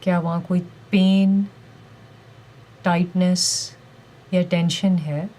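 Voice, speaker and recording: A woman leading a guided meditation with calm, gentle, deliberate instructions and pauses between phrases. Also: fairly low pitch.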